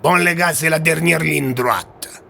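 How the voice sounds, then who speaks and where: Audio-drama villain, played by a man: gravelly, mocking gang boss, reverberant cave, music underneath. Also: a little high, unhurried, bright, forceful tone, a little hiss or hum, pitched above the performer's own voice, full character voice. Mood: commanding. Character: menacing, mocking male gang boss of armed prisoners.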